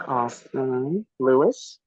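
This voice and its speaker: A woman's voice: a bass voice, expressive, slow, a little mellow, husky, no room sound, dull audio. A woman hosting a dating show, calling on guests one by one with short cheerful acknowledgements.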